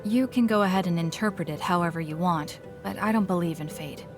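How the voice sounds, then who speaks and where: A woman's voice: fairly low pitch, brisk, music in the background, close to an everyday voice. An actress playing a cool, guarded, logical young woman soldier in a video game, speaking in a reserved, blunt, matter-of-fact way. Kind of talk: English video game voice acting.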